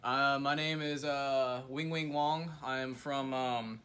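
Young college guy goofing around deadpan, filmed on a phone from across his dorm room, noisy audio. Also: mid-range voice, slow pace. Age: young adult. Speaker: college friend. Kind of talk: casual joking chat. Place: dorm room, indoors, small.

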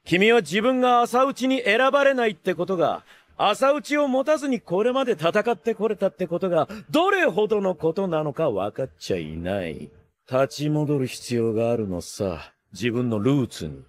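A man's voice: sits high, very animated, moderate pace. A male actor playing a flamboyant, cocky showman swordsmith in an anime, with a theatrical, playful sing-song delivery that can turn cold. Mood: cold, mocking. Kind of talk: Japanese anime voice acting.

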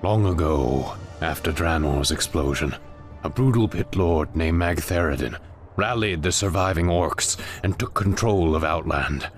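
An actor playing a commanding exiled demon lord in a fantasy game, brooding and portentous. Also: male voice, rumbling low, a little slow, soft background music. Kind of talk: dramatic fantasy game cutscene acting.

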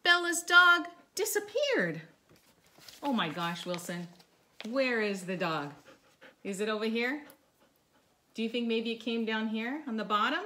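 A middle-aged woman doing a children's read-aloud in an animated, coaxing sing-song, asking questions as she goes, recorded in a living room. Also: a little high, measured pace, pauses often. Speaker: therapy dog owner reading a storybook aloud. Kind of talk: children's read-aloud, talking to a dog and young viewers. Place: living room by a stone fireplace, indoors. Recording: clearly noisy home recording.

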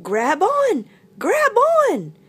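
A woman's voice: lowish voice, big pitch swings, drawn-out words, vocal fry, breathy edge, exaggerated voice. A woman voicing a big, helpful, blustery male sun bear in a storybook read-aloud. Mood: urgent calling. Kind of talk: children's picture-book read-aloud.

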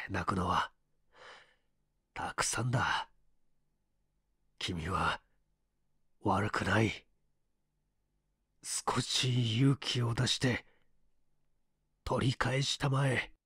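A man voicing a weak, gentle dying man with a hoarse, breathy voice in a story reading. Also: on the low side, no room sound, clean studio sound, pitched below the performer's own voice, exaggerated voice. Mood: gasping, gentle. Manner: gasping, halting, faint.

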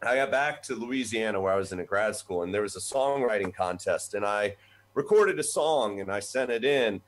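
A man in his forties, a voice professor from the United States, telling his background in a relaxed, rambling way over a video call from a small office. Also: lowish voice, normal speed, slight background noise. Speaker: guest, voice professor. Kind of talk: conversational storytelling. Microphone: webcam on video call.

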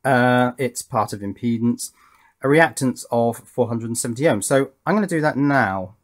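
A man's voice: medium pitch, normal speed. A man giving a casual, hands-on technical explanation in an informal, methodical way, as a tutorial host. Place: indoors at a workbench.

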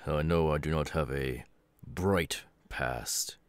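A man playing a stern, weary middle-aged superhero father in an ASMR roleplay, with a deliberate, halting delivery. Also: very deep voice, clear, resonant tone, dry recording, heavily acted. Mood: weary, earnest. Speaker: voice actor.

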